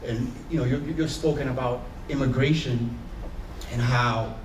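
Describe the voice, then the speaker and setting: A man with a Haitian accent talks on a conference panel in an earnest, searching way, often restarting his sentences. His voice sits at an ordinary pitch. It is caught from a distance in an echoey room with a faint hum behind it. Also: steady tone, a little slow. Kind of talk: reflective personal talk on a panel.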